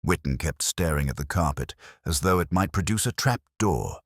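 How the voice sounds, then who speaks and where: Man, very low voice, swooping intonation, narrating detective fiction in a dry close sound. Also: animated, normal speed, no echo. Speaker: audiobook narrator. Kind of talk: scripted first-person detective fiction narration.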